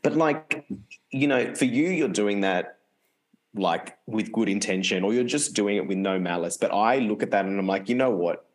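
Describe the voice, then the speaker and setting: Male co-host, low register, open and earnest, conversational. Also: expressive, normal speed, low-quality recording. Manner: rambling, frequent "like" fillers, open and earnest. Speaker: podcast co-host. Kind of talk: casual conversation.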